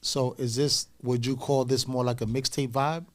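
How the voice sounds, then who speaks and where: A man's voice: medium pitch, fairly even intonation, moderate pace. A man hosting a casual interview in slangy, conversational talk. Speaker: interview host. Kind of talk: casual chat.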